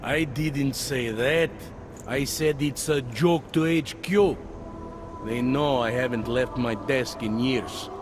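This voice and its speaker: An actor playing a weary, self-deprecating older cop near retirement in a video game, talking in a wry, conversational way. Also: male voice, medium pitch, takes it slowly, natural voice. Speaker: police officer. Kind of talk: video game cutscene dialogue. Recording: background music under dialogue.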